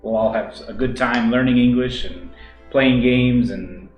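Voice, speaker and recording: A man's voice: medium pitch, unhurried, lo-fi sound. A man co-hosting an English-teaching livestream, chatting casually and rambling with many fillers and restarts. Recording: roomy echo with faint music.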